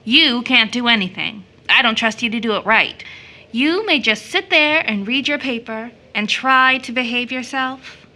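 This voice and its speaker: A woman's voice: fairly high pitch, measured pace, pushed and bright. A woman playing an earnest, affectionate but troubled young daughter in an audio drama. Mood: teasing.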